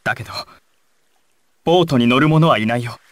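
An actor playing an intense, volatile young male gangster with a husky voice in dramatic video game dialogue. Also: medium pitch, a little fast, no room sound, close to an everyday voice. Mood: grave. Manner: dramatic, swinging from controlled analysis to urgent shouting. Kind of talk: dramatic Japanese game dialogue and battle clips.

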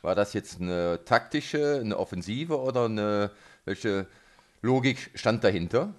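A man hosting a local sports radio interview, phrasing his questions in a halting, hesitant way. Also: lowish voice. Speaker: radio host interviewing a football coach.

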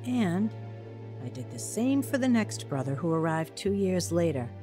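A grown woman looking back on her childhood in a radio drama. Her voice sits fairly low and stays measured. Music plays clearly under her narration. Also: big pitch swings, warm tone. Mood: reflective. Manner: reflective, measured. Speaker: narrator recalling her own life. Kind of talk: scripted first-person narration.

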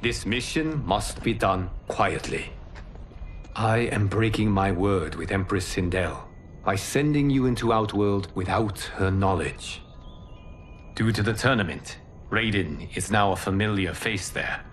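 An actor playing a calm, wise protector god in a video game cutscene, speaking in a measured, authoritative way. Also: male voice, on the low side, slight background noise, natural voice. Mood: commanding. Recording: game audio with loud score.

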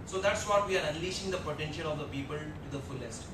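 A man with an Indian English accent speaking on an HR panel from a lectern, earnest and repetitive with many fillers. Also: quick pace. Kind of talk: panel discussion talk. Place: large auditorium stage at a lectern. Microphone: lectern mic heard from a far camera. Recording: echoey hall from far off, rumble.

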